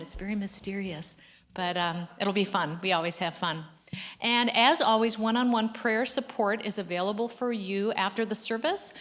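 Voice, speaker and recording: A woman's voice: fairly high pitch, a bit bright. A woman giving casual church announcements at a service, with loose asides and laughs.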